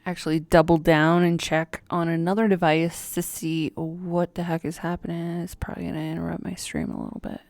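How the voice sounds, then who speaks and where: A woman's voice: lowish voice, little pitch movement, fairly quick, few pauses, darkish tone, no room sound. A woman hosting a casual home live stream, rambling and self-deprecating, laughing at herself as she talks. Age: adult caregiver, former radio worker.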